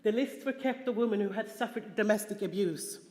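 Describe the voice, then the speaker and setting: A woman's voice: mid-range voice, dark tone. Swedish-accented woman in an echoey hall. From Sweden. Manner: earnest, halting, frequent restarts and fillers. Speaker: conference speaker. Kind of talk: personal testimony talk with slides. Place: large conference hall. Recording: echoey hall, long reverb.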